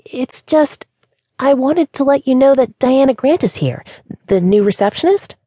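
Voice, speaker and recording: A woman voicing a cheery young female receptionist who chatters fast in a high, breathy voice. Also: sing-song, a little mellow, no room sound, clean studio sound. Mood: nervous. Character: bubbly young female receptionist, cheery but easily scared.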